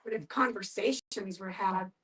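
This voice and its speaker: A woman's voice: medium pitch, moderate pace, a bit bright. A woman speaking emphatically and explaining things in a group discussion. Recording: voice picked up from a distance.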